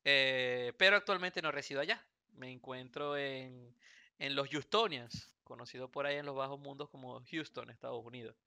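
Venezuelan man, 30s, podcast host; raspy-bright, clean and dry sound, rambling humor. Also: mid-range voice, normal speed, dry recording. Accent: Venezuelan Spanish. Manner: joking, rambling with many fillers and restarts. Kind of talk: casual podcast chat. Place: Houston, United States.

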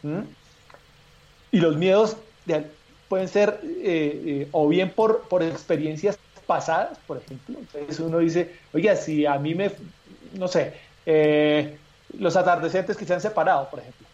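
A man's voice: fairly high pitch, a little mellow, lo-fi sound. A male guest speaking reflectively with frequent hesitations over a remote call. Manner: reflective, hesitant, many "eh" pauses. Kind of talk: casual chat.

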